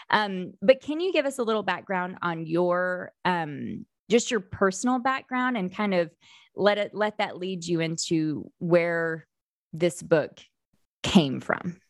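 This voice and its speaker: A woman's voice: fairly low pitch, gravelly, dry recording, studio quality. A woman hosting a faith podcast and interviewing a guest, earnest and thoughtful, with many ums and restarts. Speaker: podcast host.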